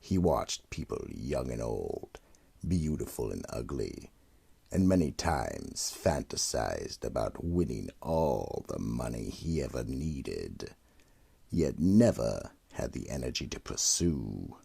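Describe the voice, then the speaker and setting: A man playing a sinister horror-anthology narrator, the Keeper of the Vault, with a deep gravelly rasp and slow, ominous delivery. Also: very animated, dark tone, full character voice. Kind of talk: 1940s-style radio horror narration.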